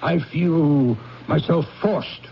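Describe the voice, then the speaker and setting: A man's voice: a little high, drawn-out words, a little hiss or hum, pitched above the performer's own voice. A man playing a reformed old miser, merry and mock-stern, in theatrical 1970s radio drama style. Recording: muffled 1970s radio broadcast, faint music.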